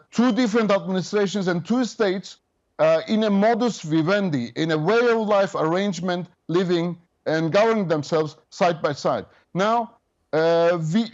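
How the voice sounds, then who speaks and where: A man in his fifties, a government representative speaking over a remote link, measured and official with frequent hesitations. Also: high voice, darkish tone, dull audio. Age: 50s. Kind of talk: remote interview guest.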